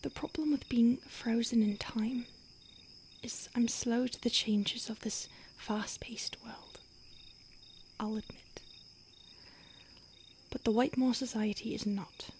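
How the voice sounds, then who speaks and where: A woman playing an ancient, secretive vampire in a whispered roleplay ASMR, hushed and halting with deliberate pauses. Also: on the high side, steady tone. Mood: grave, confiding. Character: ancient female vampire, secretive, urgent, weary, protective. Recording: faint hiss, outdoor night insects.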